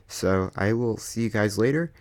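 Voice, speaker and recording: Deep-voiced young man rambling casually. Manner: rambling, filler-heavy, self-deprecating. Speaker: channel host reviewing a microphone. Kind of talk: casual rambling product review. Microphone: desk USB microphone.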